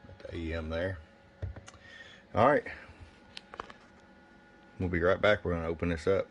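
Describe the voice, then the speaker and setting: A man's voice: deep voice, fairly even intonation, unhurried, lo-fi sound. A man giving a casual bench review of gear, plain-spoken and thinking aloud as he goes. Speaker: product reviewer. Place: indoor electronics workbench. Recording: faint background noise.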